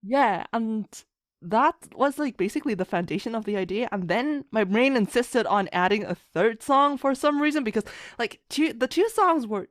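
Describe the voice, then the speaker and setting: Woman on a podcast just chatting, animated storyteller, really dry recording. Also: a little high, moderate pace, dry recording. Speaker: podcast guest, fan musician and writer. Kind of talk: casual chat.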